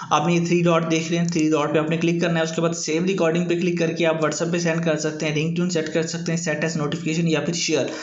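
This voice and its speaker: Male tutorial host, slightly high voice, informal repetitive explaining, recorded in a very echoey room. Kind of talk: informal app tutorial narration. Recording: echoey room, dull muffled sound.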